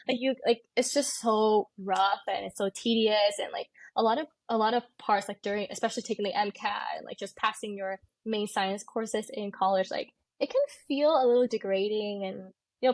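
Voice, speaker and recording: A woman's voice: medium pitch, normal speed, no echo. A woman in her twenties with an American accent, talking informally and candidly as a guest in a casual interview. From California, United States. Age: 20s. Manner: informal, candid, peppers speech with "like". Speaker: podcast guest, medical student.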